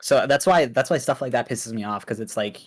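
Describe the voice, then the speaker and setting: A man's voice: mid-range voice, fairly quick, slightly rough. A young man from Pakistan, Urdu his first language, talking loosely as a podcast guest with lots of fillers. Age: young adult. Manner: laid-back, loose, filler-heavy with many likes and ums. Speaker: guest streamer. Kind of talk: casual podcast interview.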